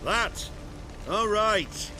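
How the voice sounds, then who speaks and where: A crude fantasy game bandit. His highish voice is cocky and colloquial, set against clearly audible ambience. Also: animated, drawn-out words, background noise, full character voice. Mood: mocking. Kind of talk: cinematic fantasy game acting.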